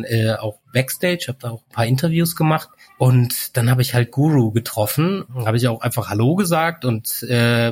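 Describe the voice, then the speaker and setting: A man in his forties telling stories in a relaxed, rambling way, full of fillers, as a guest in a casual chat. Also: mid-range voice. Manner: relaxed storyteller, many fillers like "halt" and "irgendwie". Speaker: podcast guest.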